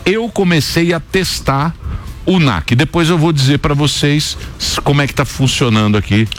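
A man's voice: fairly high pitch, moderate pace, slight background noise. A Brazilian man hosting a show, chatting casually and plugging products. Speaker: show host. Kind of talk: casual chat and product plugs.

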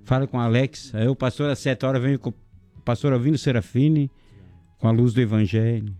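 A man hosting a casual local radio show, jokey and chatty, giving shout-outs to listeners and sponsors. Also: mid-range voice, fairly even intonation, a little fast, faint music behind. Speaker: radio host.

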